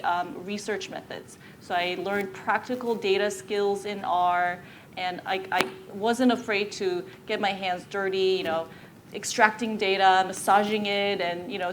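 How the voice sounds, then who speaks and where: A woman's voice: medium pitch, a little hiss or hum, poor audio. A woman speaking on a panel, telling her career story in a conversational way with fillers and light laughs.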